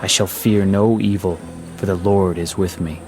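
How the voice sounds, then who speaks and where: A man acting a solemn, gentle priest in a radio drama, reciting prayer in measured tones. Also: deep voice, fairly even intonation, music in the background, lightly acted.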